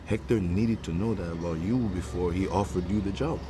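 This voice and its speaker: Guy acting smooth cuban-born romantic in a radio play, low voice, tender and a bit teasing. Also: a little fast, background noise, natural voice. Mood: earnest. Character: suave Cuban-born man, successful performer, tender and teasing suitor. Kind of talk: comedic radio drama acting.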